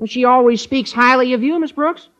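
An actor playing an eager, gabby teenage high school boy in old radio comedy, youthful and earnest. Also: normal speed. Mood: gossipy. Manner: earnest, excitable, chatty. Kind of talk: 1940s-50s radio comedy acting. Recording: muffled old radio broadcast recording.

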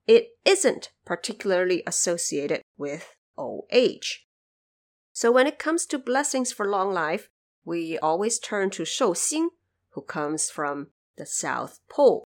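A woman from China hosting an explanatory podcast, speaking in an informal, wry way. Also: medium pitch. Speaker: podcast host. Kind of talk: explanatory podcast commentary on folklore.

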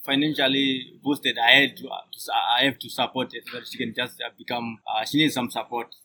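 A man in his thirties speaks as a podcast guest, his voice bright and forward. He talks hesitantly, with frequent restarts and fillers. The talk is recorded outdoors on a sidewalk by a coffee shop. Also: mid-range voice, level tone, a little fast, slight background noise. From Zimbabwe. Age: 30s.

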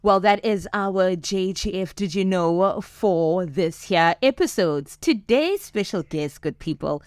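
A woman's voice: lowish voice, animated, dry recording, clean studio sound. A woman hosting a podcast, addressing her listeners as "good people" and affirming her guests. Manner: slow, warm-welcoming, affirming. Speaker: podcast host.

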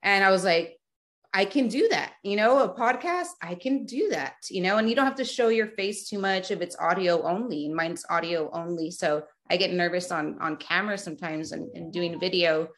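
A woman's voice: on the low side, moderate pace, fairly bright tone. A woman in her thirties from South Texas, a podcast guest talking in a chatty way with many fillers and restarts. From Rio Grande Valley, South Texas. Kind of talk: casual remote interview.

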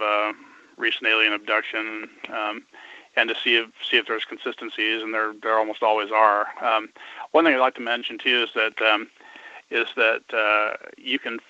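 A man in his fifties, a guest on a radio interview, talking haltingly with many fillers and restarts. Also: lowish voice, pushed and bright, clean tone, no echo. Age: 50s. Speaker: guest researcher. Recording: dull lo-fi radio sound.

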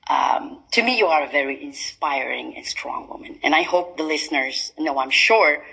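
A woman's voice: deep voice, normal speed, creaky, sharp tone, slightly rough, poor audio. A young adult Belgian woman with a Belgian accent, hosting an interview podcast, speaking hesitantly with many fillers and encouraging prompts. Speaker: podcast host.

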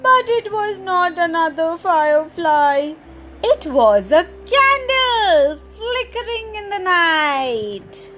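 A woman hosting a children's storytime podcast, reading a picture book aloud in an animated, sing-song way and adding playful sound effects. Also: extremely high pitch, slow, a little hiss or hum.

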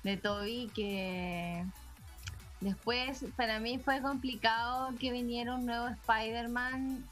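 A woman chatting on a podcast, animated and emphatic. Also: a little high, slow, faint music behind. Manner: animated, emphatic with repeated denials. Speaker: podcast co-host. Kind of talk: casual podcast chat.

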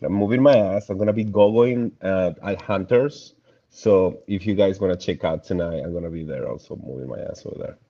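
Young Argentina-born man, deep and mellow, easygoing chat over dull lo-fi audio. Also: a little fast. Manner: laughs often, easygoing, trails off softly. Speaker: guest. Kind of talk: casual chat.

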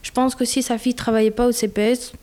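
A young woman from Belgium speaks in a casual conversation, her voice fairly high but mellow and soft. She talks candidly, pausing often with fillers as she gathers her thoughts. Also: flat delivery, slight background noise. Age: 20s. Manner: candid, hesitant, with many fillers. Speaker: guest.

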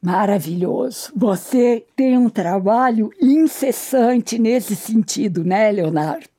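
A woman's voice: a little high, animated, slow, mellow. A woman interviewer who talks in a gushing, affectionate way and heaps praise on her guest.

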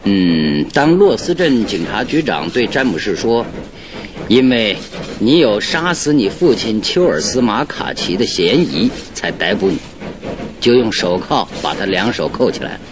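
A low-voiced, animated detective. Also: male voice. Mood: measured reasoning. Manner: deliberate, theatrical explaining. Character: confident male detective reasoning out a case, calm and authoritative. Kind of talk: Mandarin radio drama acting. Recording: noisy old radio drama, train rumble behind.

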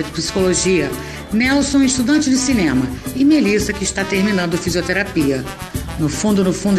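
A woman narrating a scripted audio drama in a conversational way, with rhetorical questions. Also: medium pitch. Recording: music under the voice.

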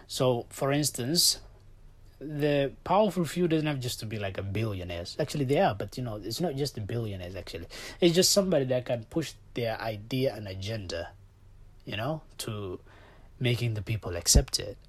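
A man whose first language is Somali, hosting a solo podcast and giving his opinions, swinging from loud outbursts to low muttered asides. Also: lively intonation, normal speed, husky. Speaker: podcast host.

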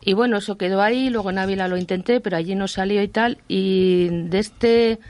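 A woman's voice: mid-range voice, fairly even intonation, fairly quick, a little mellow, dry recording. A woman from Madrid with a Madrid accent, a guest author chatting in a radio interview and acting out the voices she quotes. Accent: Madrid Spanish. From Madrid, Spain.

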